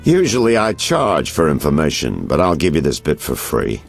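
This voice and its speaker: Shady detective selling info, real deep gravelly voice that swoops around, theatrical, game. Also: male voice, huge pitch swings, normal speed, faint music behind, exaggerated voice. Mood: sly, knowing. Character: sly, knowing male private detective selling information. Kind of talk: video game character acting.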